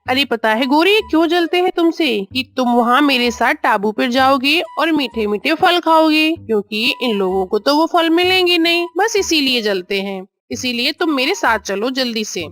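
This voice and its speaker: Woman playing sly, coaxing male crow, high-voiced. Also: animated, normal speed, few pauses, a bit bright, faint music behind. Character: sly, flattering male crow luring with sweet promises.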